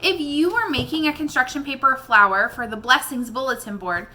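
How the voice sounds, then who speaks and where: A woman reading a children's novel aloud in an expressive storybook style. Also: high voice, fairly quick, noisy background. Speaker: read-aloud reader addressing young listeners.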